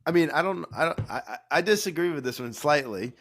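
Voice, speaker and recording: A man with a cold, co-hosting a podcast in a low, mellow voice, joking and rambling with restarts. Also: animated, fast. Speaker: podcast co-host, former cruise director. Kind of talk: casual podcast chat.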